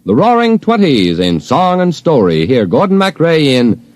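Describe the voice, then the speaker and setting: An American man giving a scripted 1950s radio promotional announcement in a formal, deliberate manner. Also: on the high side, huge pitch swings. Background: faint music.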